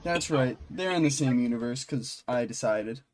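A man's voice: mid-range voice, normal speed, noisy background. A man co-hosting a podcast in a casual, joking conversational style. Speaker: podcast co-host.

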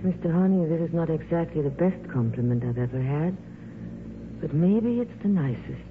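A glamorous, world-weary female film star speaks in a 1950s radio drama. Her delivery is wry and theatrical, with lively rises and falls. The old recording is thin and tinny, with clear noise over it. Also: deep voice, normal speed. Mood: touched.

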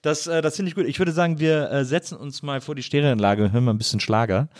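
A man hosting a casual conversational interview, often repeating and rephrasing as he feels his way through a sentence. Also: medium pitch. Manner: halting, repeats and reformulates phrases. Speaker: podcast host and interviewer.

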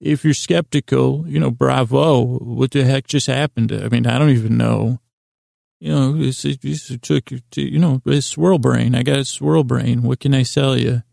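Gravelly, creaky man rambling softly through bedtime monologue. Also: medium pitch, fairly even intonation, no room sound, clean studio sound. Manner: meandering, hesitant, halting asides; silly, whimsical, soothing intent. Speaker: podcast host.

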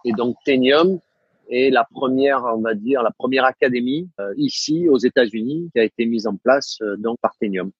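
A middle-aged man from the south of France with a southern French accent, answering interview questions in a halting way, with many fillers and repeated words. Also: medium pitch, husky, no echo, highs cut off. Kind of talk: casual interview talk. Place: living in the United States.

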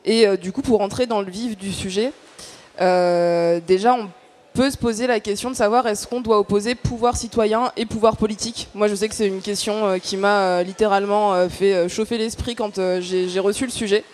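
A woman's voice: mid-range voice, steady tone, brisk, a little mellow. Hesitant woman giving an explanatory talk. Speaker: activist panel speaker. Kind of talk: semi-prepared public talk, explanatory.

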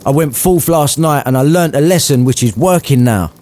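A man's voice: on the high side, lively intonation, moderate pace, a little mellow, voice pushed up, lightly acted. A man voicing a submissive, humbled old Victorian miser in a storybook reading, earnest in manner.

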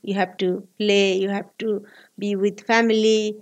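A woman in her forties from Bangladesh with a Bangladeshi accent, speaking earnest, halting English as an interview guest. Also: mid-range voice, level tone. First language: Bengali. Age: 40s. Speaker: guest, labor activist.

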